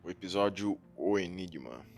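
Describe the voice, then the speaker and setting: Fairly low-voiced Brazilian man, casual gamer. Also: animated, moderate pace, faint music behind. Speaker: gaming video host. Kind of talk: casual gameplay commentary.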